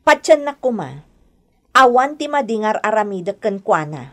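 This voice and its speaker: A woman's voice: mid-range voice, very animated, moderate pace, fairly bright tone. A woman playing an aggrieved, defensive young wife and stepmother in a radio drama, with a plaintive, emotional delivery. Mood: indignant. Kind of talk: Ilocano radio drama acting.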